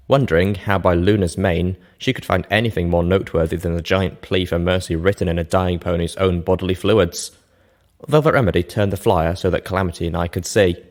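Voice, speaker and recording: A man with a low, deep voice reads scripted fiction in the first person. The narration is measured and expressive, like a storyteller hosting a reading show.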